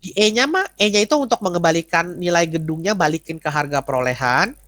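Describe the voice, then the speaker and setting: A man's voice: a little high, animated, quick pace, a bit bright, no room sound. A man, lecturing informally and often checking that listeners follow with short tags. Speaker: accounting lecturer. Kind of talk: informal explanatory teaching with question and answer.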